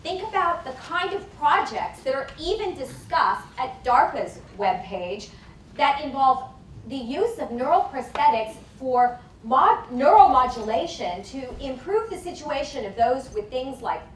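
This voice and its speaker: A woman's voice: sits high, very animated. Woman lecturing in an echoey hall. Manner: emphatic, deliberate lecturing. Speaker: conference speaker. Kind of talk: academic lecture. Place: conference hall. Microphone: lapel mic. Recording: echoey hall from far off, faint noise.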